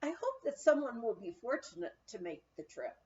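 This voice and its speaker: A woman reading a memoir aloud as an audiobook narrator, telling it in an expressive storytelling manner. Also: a little high, normal speed, highs cut off. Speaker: audiobook reader.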